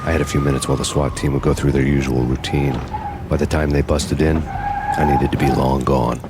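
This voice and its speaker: An American actor playing a hard-boiled, cynical cop on the run in a noir video game, deadpan and world-weary. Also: male voice, a bass voice, a little fast, very noisy. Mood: wry, grim. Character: hard-boiled, cynical, brooding male cop turned fugitive. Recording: music behind the voice.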